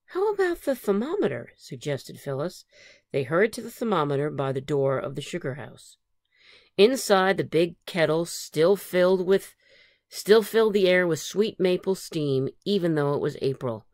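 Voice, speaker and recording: Female storybook reader, lowish pitch, very animated, dry close sound. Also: moderate pace, dry recording. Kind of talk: expressive children's read-aloud.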